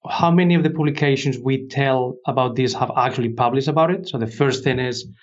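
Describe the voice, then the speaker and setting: Male expert guest, mid-pitched, explanatory and conversational, slightly muffled lo-fi recording. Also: a little fast. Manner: explanatory, poses questions then answers them. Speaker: interview guest, industry expert.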